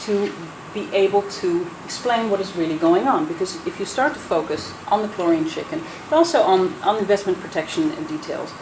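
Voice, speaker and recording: A woman's voice: fairly low pitch, brisk, hardly any pauses. A Dutch woman with an American accent, speaking earnestly and persuasively as a panelist at a roundtable. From Netherlands, raised in the US. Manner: earnest, persuasive, many restarts. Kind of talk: roundtable speech. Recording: echoey room with noise.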